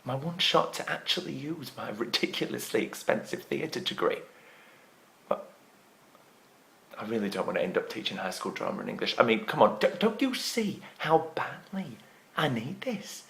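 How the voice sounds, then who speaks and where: Man playing desperate theatre graduate, scornful, pleading, halting repeats, swinging pitch, stage monologue. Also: huge pitch swings, pushed and bright, heavily acted. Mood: subdued begging. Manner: pleading, emphatic, halting repetition.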